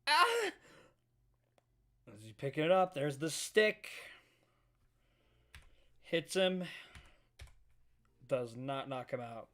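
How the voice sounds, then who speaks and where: A man's voice: medium pitch, animated, slow pace, long pauses. A man streaming a casual live read-through of manga, reacting and commenting as he goes. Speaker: streamer reading manga aloud.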